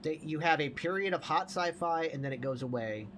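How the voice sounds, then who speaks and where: A man's voice: a little high, lively intonation, fairly quick, fairly bright tone, a little hiss or hum. A man chatting casually as a podcast host, profane and opinionated.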